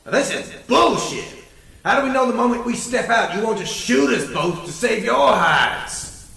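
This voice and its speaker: Man playing a gravelly, sharp-edged, belligerent bandit boss. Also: high-pitched, sing-song, moderate pace, echoey room, heavily acted, low-quality recording. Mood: suspicious, angry. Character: foul-mouthed, suspicious, belligerent male backwoods bandit leader. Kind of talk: audio drama acting.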